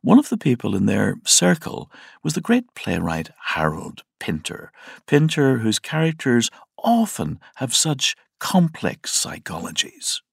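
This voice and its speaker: Male podcast narrator, very creaky, husky low voice, scripted delivery. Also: wildly swooping pitch, a little slow, no room sound, clean studio sound.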